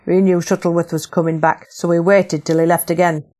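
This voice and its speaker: A woman's voice: fairly low pitch, flat delivery, brisk, warm tone, close to an everyday voice. A woman voicing a blunt, tough older rebel leader, in an audiobook. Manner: blunt, gruff dialect.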